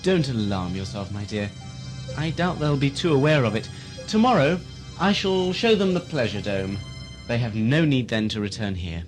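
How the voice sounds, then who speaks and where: A man playing a suave, scheming asylum keeper in an audio drama, florid and smoothly condescending. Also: mid-range voice, expressive, moderate pace, faint music behind, lightly acted. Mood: smooth, teasing. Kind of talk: amateur audio drama acting.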